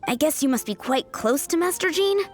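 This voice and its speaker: Game townswoman: young admirer, fairly high, animated, courteous and direct. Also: fairly quick, faint music behind. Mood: polite, eager. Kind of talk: video game character acting.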